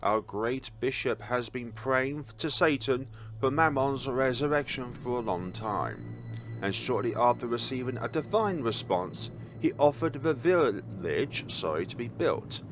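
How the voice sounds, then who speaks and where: A man's voice: mid-range voice, animated, unhurried, a bit bright. A man reading in-game logs and notes aloud for a gaming video, with a dramatic delivery broken by wry asides and self-corrections.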